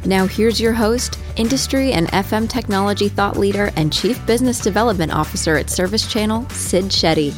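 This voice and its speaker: A woman's voice: medium pitch, brisk, hardly any pauses. A woman announcer reading a scripted podcast introduction and sponsor message. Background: music bed.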